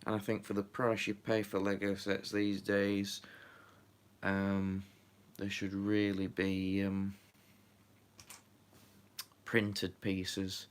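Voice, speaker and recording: A man's voice: on the low side, monotone, slow pace, pauses often, clean tone. A man chatting casually on a live stream while building, rambling with lots of fillers and muttering to himself, a little stuffy from a runny nose.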